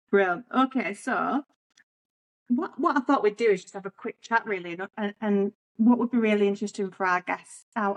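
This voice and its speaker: A woman with a Yorkshire accent and a mid-range voice leads an interview in a chatty, relaxed way. She laughs easily as she moves between her questions. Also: medium pitch, poor audio. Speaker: podcast host. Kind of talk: friendly interview.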